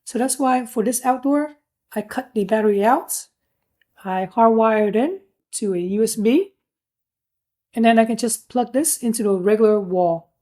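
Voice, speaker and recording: A woman with a distinctly mellow, soft-edged tone and a slightly high voice. She talks through a product casually, as a reviewer would, in plain and informal terms. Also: moderate pace. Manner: informal, plain-spoken explaining.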